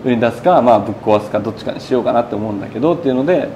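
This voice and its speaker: A man's voice: medium pitch, very fast, dark tone. Chatty man in his thirties, slightly noisy room. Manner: chatty, recounting in long run-on sentences with quoted speech. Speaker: burger shop owner being interviewed. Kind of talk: casual conversational interview. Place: indoors in a small burger shop. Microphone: lapel mic.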